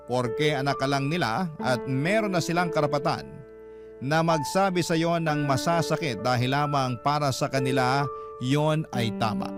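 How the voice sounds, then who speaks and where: A man with a Filipino accent reading a letter dramatically as a radio storyteller. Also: fairly high pitch. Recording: music behind the voice. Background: music.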